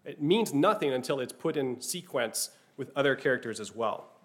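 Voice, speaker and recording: A man's voice: medium pitch, lively intonation, normal speed. A man teaching a class, speaking thoughtfully, often restarting phrases and checking in with "right?". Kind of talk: classroom lecture.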